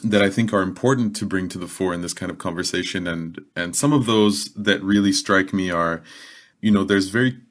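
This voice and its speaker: A man's voice: low-pitched, brisk, fairly bright tone. A man speaking reflectively as a guest in a podcast panel discussion. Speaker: podcast guest, youth education worker.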